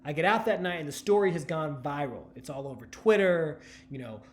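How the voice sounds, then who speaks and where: A man in his thirties from New Jersey, telling stand-up stories with a dry delivery and deadpan punchlines. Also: a little high, brisk. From New Jersey, USA. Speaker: stand-up comedian and journalist. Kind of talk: stand-up storytelling.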